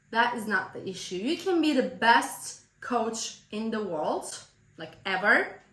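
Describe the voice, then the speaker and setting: A woman from Turkey with a Turkish accent, a business coach giving earnest, emphatic motivational advice straight to the viewer, slightly hoarse from a cold. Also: medium pitch, sharp tone, roomy sound. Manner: earnest, emphatic, direct address.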